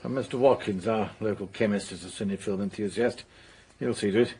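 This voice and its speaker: Husky, formal police major. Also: male voice, lowish voice, fairly quick, darkish tone. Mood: dutiful. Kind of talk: British radio drama acting.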